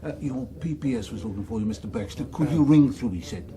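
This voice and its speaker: A man's voice: mid-range voice, animated, fast talker, close to an everyday voice. Man playing a deferential attendant, crowd behind. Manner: polite, colloquial, deferential. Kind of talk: naturalistic radio drama acting.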